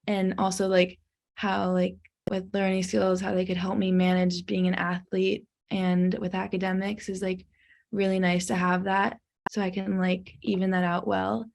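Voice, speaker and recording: A woman's voice: mid-range voice, level tone, moderate pace, creaky, a little mellow. A teenage girl on a student panel, speaking casually and rambling with many "like"s, over a video call. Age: teens. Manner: rambling, says "like" often. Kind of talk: casual chat.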